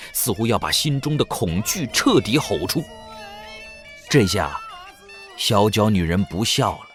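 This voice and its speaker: Male narrator, dramatic audiobook style, suspenseful, fairly deep, lively intonation, background music. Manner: suspenseful storytelling.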